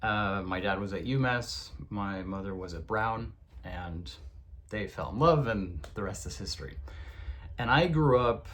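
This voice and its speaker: Guy w a lowish voice rambling happily about his books at home, lots of ums and likes. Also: a little slow, a bit bright, slight background noise. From born in the United States, raised in Europe. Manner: chatty, enthusiastic, many fillers and asides. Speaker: video creator giving a bookshelf tour. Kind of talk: casual spoken vlog.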